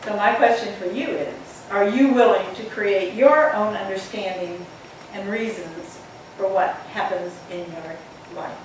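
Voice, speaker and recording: A woman minister reading a poem expressively to a congregation, with deliberate, dramatic phrasing and pauses. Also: mid-range voice. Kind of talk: expressive poetry reading within a church talk. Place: large indoor church sanctuary. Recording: very echoey hall, distant mic, faint noise.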